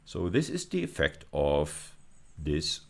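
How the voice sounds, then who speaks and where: A man with an exceptionally deep voice delivers an instructional lecture. He is deliberate and emphatic, often repeating a phrase or rewording it as he explains. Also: wildly swooping pitch, creaky. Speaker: university lecturer.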